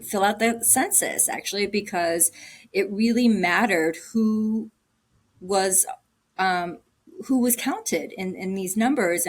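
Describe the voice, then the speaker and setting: A middle-aged woman from Cambodia, a guest answering interview questions in an earnest, unscripted way, with frequent ums and restarts. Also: lowish voice. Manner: warm, earnest, thinking aloud with many ums and restarts. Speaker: guest, agency director.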